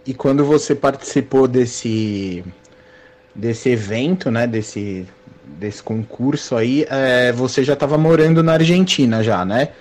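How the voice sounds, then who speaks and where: A man's voice: mid-range voice, measured pace, darkish tone. A man hosting a casual conversation, friendly and self-deprecating, with rambling asides. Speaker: host, interviewer.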